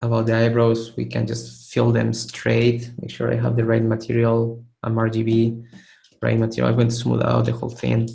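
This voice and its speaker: A hoarse man talks through a webinar critique, his voice gravelly and low on melody as it keeps giving out. He clears his throat often and speaks casually, with an encouraging tone, and restarts his phrases as he works. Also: monotone, normal speed, warm tone, low-quality recording. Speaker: art instructor giving feedback. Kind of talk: live webinar critique of 3D sculpts.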